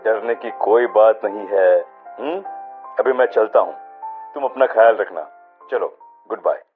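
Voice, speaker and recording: A man's voice: lowish voice, a little slow, lightly acted. A man playing a reassuring, mildly dismissive young husband in a horror cartoon. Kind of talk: dramatized horror story voice acting. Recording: muffled band-limited audio with music.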